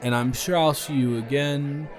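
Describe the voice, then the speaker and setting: A man's voice: a little high, fairly even intonation, normal speed, over music, a crowd in the background, natural voice. A man playing a tipsy, stubborn male roommate at a party, rambling and repeating himself in an improvised audio roleplay. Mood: chatty, rambling. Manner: rambling, tipsy, dragged-out and repetitive. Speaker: audio roleplay performer, channel creator.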